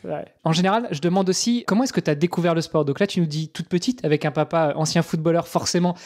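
A man's voice: a little high, quick pace, darkish tone. A man hosting a podcast, talking in an informal, chatty way. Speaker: podcast host. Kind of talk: podcast intro narration and casual interview chat.

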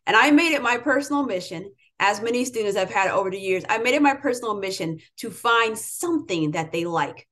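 A woman's voice: mid-range voice, lively intonation, a little fast, few pauses, fairly bright tone. A middle-aged American woman, an educator, giving an emphatic motivational talk to students. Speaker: educator, interviewer. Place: home room with bookshelves, indoors.

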